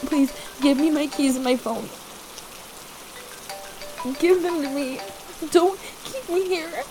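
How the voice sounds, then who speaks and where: A woman acting a distressed, frightened young woman in an intimate audio roleplay, pleading and apologetic, haltingly repeating herself. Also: sits high, over music, rough recording. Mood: desperate pleading. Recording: steady rain noise over the voice. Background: rain.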